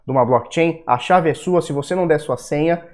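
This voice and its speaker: A man's voice: a little high, fairly quick, a little mellow. A young Brazilian man with a Brazilian Portuguese accent, hosting a casual market-analysis video, blunt and profane, with heated rants. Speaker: crypto video host.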